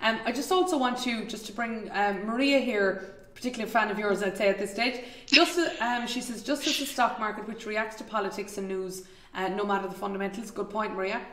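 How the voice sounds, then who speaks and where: A woman's voice: mid-range voice, fairly quick, a bit bright. Woman host in her 30s, rambling questions, roomy home video-call sound. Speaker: interview show host. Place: home room, indoors.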